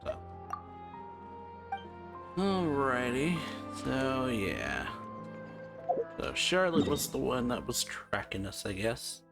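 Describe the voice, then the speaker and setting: Female let's play host: very deep for a woman, slow, laid-back, casual reactions over music. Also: sing-song. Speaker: gaming video host.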